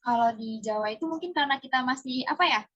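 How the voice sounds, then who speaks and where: A woman's voice: high-pitched, quick pace, fairly bright tone, muffled recording, a little hiss or hum. A young woman from East Java answering interview questions in a chatty way, with many hesitations and fillers. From East Java, Indonesia.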